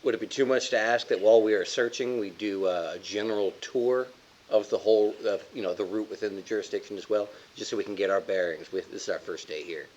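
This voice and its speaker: A man's voice: on the low side, fast talker, darkish tone, close to an everyday voice. A man playing a polite, diplomatic federal agent with a courteous, formal way of talking in a tabletop game. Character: polite, diplomatic FBI agent, cooperative newcomer. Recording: dull audio with faint hiss.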